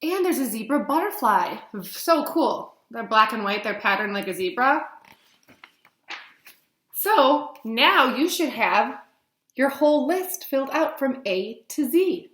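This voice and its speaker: A woman in her thirties reading a picture book aloud as a teacher, animated and explaining things simply to young children. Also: sits high, slow. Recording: roomy echo.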